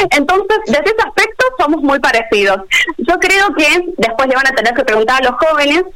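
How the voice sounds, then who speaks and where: Fast-talking Argentine woman, high voice. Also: big pitch swings, fairly bright tone, low-quality recording. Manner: fast-talking, many hesitations. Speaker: special education teacher. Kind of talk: answering questions on a video call panel. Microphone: video call.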